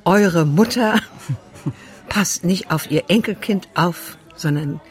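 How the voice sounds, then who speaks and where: A woman's voice: on the low side, slow pace, faint music behind. A woman playing a candid widowed grandmother in a naturalistic radio play, speaking frankly and a little hesitantly. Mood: candid, chatty. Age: 60s or older. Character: candid widowed grandmother at senior speed dating.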